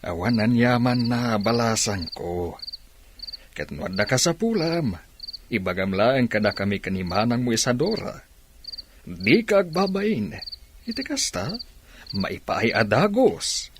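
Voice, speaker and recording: A man's voice: medium pitch, big pitch swings, a little slow, pauses often. A man playing a kindly, fatherly farm owner in an audio drama. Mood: caring, gentle. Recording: noisy, with clicks and insect chirps.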